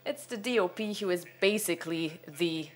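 Older man, halting lecture, fillers, stand mic on a cinema stage. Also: sits high. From Venezuela. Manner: hesitant, many fillers and restarts, gestures broadly. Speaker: cinematographer giving a masterclass. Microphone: stand microphone.

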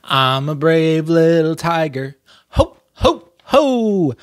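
A brave little tiger cub from a children's storybook, acted out by a man. The voice climbs high in a slow, simple sing-song. It is very close and dry. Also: very animated, no room sound.

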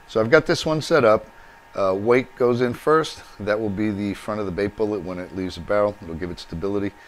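Low-voiced guy walking through a diy build step by step at his garage bench, camera mic. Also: slight background noise. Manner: explains step by step while working with his hands. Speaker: DIY channel host. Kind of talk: hands-on how-to demonstration. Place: home workshop at a workbench, indoors.

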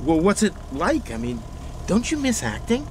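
An actor playing an excitable, star-struck actor with a stammering, gushing manner in a radio drama. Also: male voice, high voice, moderate pace, a little hiss or hum. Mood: chatty, awed.

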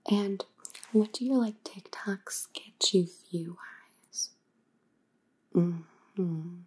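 Woman playing a slow soft-spoken trendy housemate. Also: mid-range voice, husky. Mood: appraising, aloof. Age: young adult. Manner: casual valley-girl drawl full of "like". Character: aloof, trend-obsessed young female housemate among vampires. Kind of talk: ASMR roleplay.

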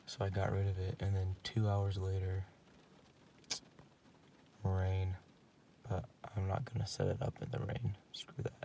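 A young man vlogging a solo camping trip in a laid-back, deadpan way, talking to a handheld action camera from his hammock and his truck. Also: deep voice, measured pace, gravelly, pauses often. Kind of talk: casual solo camping vlog. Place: outdoors in a hammock, then inside a truck cab. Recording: action camera with outdoor noise.

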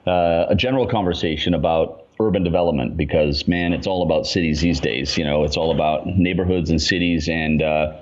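A man in his forties from Canada, talking about his work in a relaxed, chatty way with many fillers. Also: deep voice, a little fast, hardly any pauses, highs cut off. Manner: relaxed and chatty, lots of fillers, laughs easily. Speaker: guest, urban design consultant. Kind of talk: casual interview chat.

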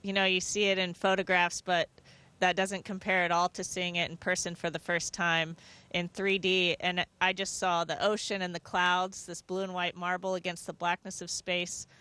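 A woman's voice: fairly low pitch, level tone, normal speed, pushed and bright. A woman, around her forties, speaking as an astronaut in an interview, explaining things conversationally into a handheld microphone. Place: inside a space station module.

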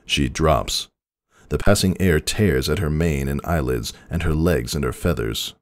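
A male narrator delivers a dramatic story reading in an extremely low voice. The recording is dry, with hardly any room sound. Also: measured pace, dry recording.

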